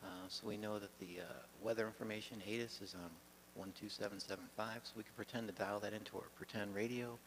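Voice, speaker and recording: A man giving an informal, halting lesson as he demonstrates pilot procedures, speaking in an improvised way. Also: on the low side, fairly even intonation. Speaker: pilot demonstrating radio practice. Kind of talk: informal instructional talk. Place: garage. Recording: faint background noise.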